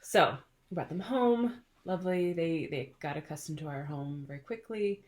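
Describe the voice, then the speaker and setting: A woman's voice: low voice, normal speed. A middle-aged woman hosting a casual chat, telling stories in a chatty, playful way and laughing often. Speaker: podcast host.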